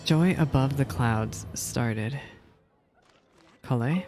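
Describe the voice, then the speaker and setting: A man's voice: medium pitch, extremely slow. A man streaming a game and giving casual, rambling reaction commentary. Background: soft video game music.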